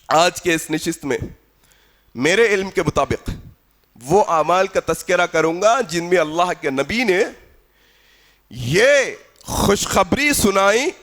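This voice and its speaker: A male preacher with a very high, bright and forceful voice delivers a fervent sermon. He repeats phrases for emphasis and stretches them almost into a chant. His delivery moves between loud proclamation and hushed exclamation. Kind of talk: impassioned Urdu religious sermon.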